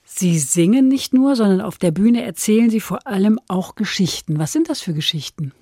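Mellow-voiced female radio interviewer. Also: mid-range voice, animated, moderate pace, dry recording, studio quality. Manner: clear, structured questions. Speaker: radio host.

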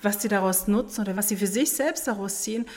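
A woman's voice: medium pitch, fast talker, darkish tone. A woman who organizes youth camps explains things in an interview, with some fillers. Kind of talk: interview answers.

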